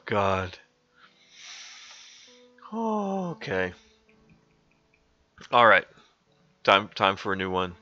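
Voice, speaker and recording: A male streamer reads absurd fanfiction in a mock-dramatic way, giggling as he goes. His voice is bright and sharp at a middle pitch. The audio is dull and lo-fi, with faint music underneath. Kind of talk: comic dramatic fanfic reading.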